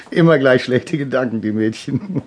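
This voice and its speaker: An actor playing a jovial, flirtatious boss in a German crime radio play. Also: male voice, medium pitch, a little slow, husky. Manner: jovial, casual, teasing.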